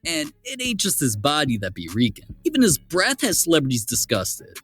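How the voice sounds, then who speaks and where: A man's voice: on the high side, huge pitch swings, moderate pace, a bit bright. A man hosting a YouTube commentary, narrating in a scripted, teasing, joking way. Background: background music.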